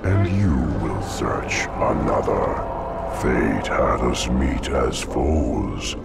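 An actor voicing an ancient, monstrous hive-mind creature in a video game, guttural and booming, with slow, portentous speech. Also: male voice, very animated, creaky, heavily acted. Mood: grave, commanding. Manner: slow, portentous, riddling oratory. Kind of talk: theatrical video game villain acting. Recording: loud music behind.

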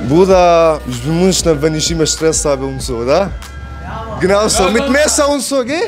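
A man's voice: a little high, lively intonation, moderate pace, music in the background. A man answering a street interviewer, slangy and boastful. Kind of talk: casual street chat.

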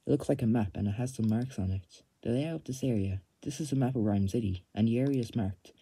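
A man's voice: mid-range voice, a little fast, dark tone, slightly rough, no room sound. A man casually reading game dialogue aloud and commenting as he plays. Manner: reads on-screen text in a plain voice. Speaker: let's play gamer.

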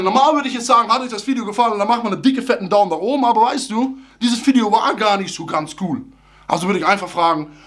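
A man in his thirties talks straight into a close camera in a small room, with a fairly high voice. He sounds animated and chatty, and his grammar slips now and then. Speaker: theme park YouTuber. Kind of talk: casual vlog talk to camera. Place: small room full of fan merchandise, indoors. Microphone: camera at close range.

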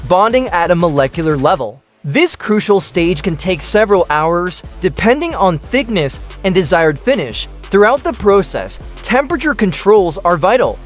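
A man narrates a scripted industrial documentary with a high, lively voice that swings widely in pitch. He lays out each step in steady, measured phrases. Soft music plays faintly beneath him. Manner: steady explanatory delivery in short listed phrases. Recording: voice-over with faint background music.

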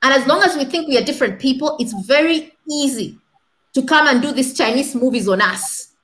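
A woman's voice: high voice, brisk, fairly bright tone, low-quality recording. A woman in her thirties giving impassioned commentary as a panel guest on a video call, asking rhetorical questions and repeating points for stress. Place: small room indoors. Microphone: laptop webcam video call.

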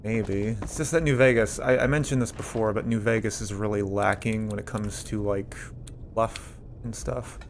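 A man's voice: fairly low pitch, fairly even intonation, normal speed, a little hiss or hum. A man giving casual, rambling video game commentary, with some swearing.

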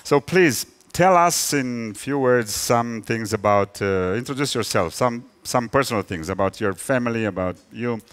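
A middle-aged man with a Romanian accent, a native Romanian speaker, interviewing on stage in halting English, searching for words. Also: mid-range voice, a bit bright, in a large hall. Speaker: host, interviewer. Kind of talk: stage interview before an audience. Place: large hall stage, indoors. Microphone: headset.

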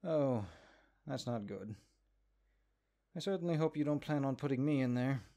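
A man's voice: medium pitch, lively intonation, normal speed, mellow, natural voice. A man voicing a haughty, exasperated magic book in a comedic audio roleplay, condescending and sarcastic. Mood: wary, exasperated. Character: exasperated, haughty talking cursed grimoire, a sentient magic book.

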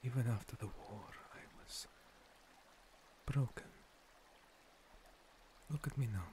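Man as a slow, whispering ancient monster priest. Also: medium pitch, a little mellow, a little hiss or hum, close to an everyday voice. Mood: tender, wistful. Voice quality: breathy, whispery. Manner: slow, soothing, halting pauses. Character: ancient gentle monster priest, scarred former paladin. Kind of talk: whispered ASMR roleplay. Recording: water streaming and pouring behind. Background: running water.

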